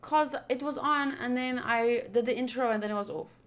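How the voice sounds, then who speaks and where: A woman's voice: a little high, moderate pace, a bit bright. A woman giving casual game playthrough commentary, chatty and musing aloud as she hosts. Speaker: gaming channel host.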